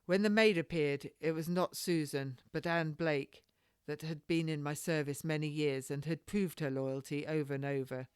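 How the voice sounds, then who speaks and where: A woman's voice: deep voice, fairly even intonation, moderate pace, few pauses, clean tone, dry recording, studio quality. A woman author reading her own historical novel aloud as informal, scripted storytelling. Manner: unpolished, with stumbles and self-corrections. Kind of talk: informal audiobook narration.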